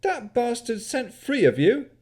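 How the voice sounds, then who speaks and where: A man voicing a gangly, surly young male wizard with a rough British country yokel accent. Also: very high voice, big pitch swings, unhurried, a little mellow, pitched above the performer's own voice, exaggerated voice. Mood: irritated. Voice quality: brash, rough-spoken.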